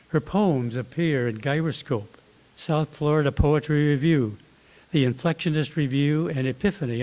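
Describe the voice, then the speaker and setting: A man with a fairly high voice hosts a poetry series at a library. He reads out a formal introduction of the guest poet at a measured pace. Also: a little mellow, poor audio. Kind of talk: reading a prepared introduction. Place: library event room.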